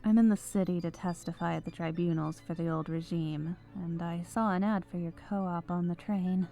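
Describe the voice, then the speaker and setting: Renegade witch, ex-soldier seeking forgiveness, played by a woman: dark, velvety, slightly low, hesitant intimate ASMR delivery. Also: fairly even intonation, normal speed, vocal fry. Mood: tentative, friendly. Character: remorseful battle-scarred renegade cyborg witch, ex-soldier. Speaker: ASMR voice performer. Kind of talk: scripted roleplay ASMR monologue. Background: background music.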